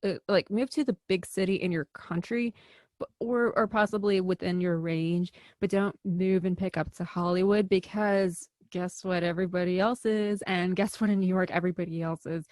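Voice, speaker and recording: A woman's voice: on the low side, steady tone, moderate pace, darkish tone, no echo, studio quality. A young woman with a Chicago accent, chatting casually in an interview and laughing often between many fillers. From American Midwest. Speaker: actor, interview guest.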